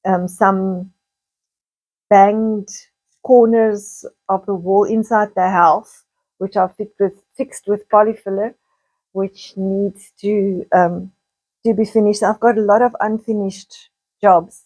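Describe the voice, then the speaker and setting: A South African woman vlogger talks in a medium-pitched, slightly croaky voice. She chats casually and rambles, often repeating words and wandering off into asides before returning to her point. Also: steady tone, slow, darkish tone, rough recording. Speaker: video blogger. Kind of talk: casual chatty vlog monologue.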